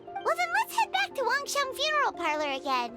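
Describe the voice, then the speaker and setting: A woman voicing a small, chirpy, excitable childlike fairy companion in a video game, with squeaky, expressive delivery. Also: fairly quick, heavily acted. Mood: eager. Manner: exaggerated, expressive reactions. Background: game music.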